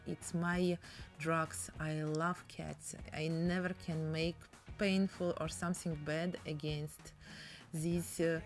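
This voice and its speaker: A woman with a Russian accent chatting in broken English while demonstrating a painting technique. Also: lowish voice. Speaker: painting tutorial host.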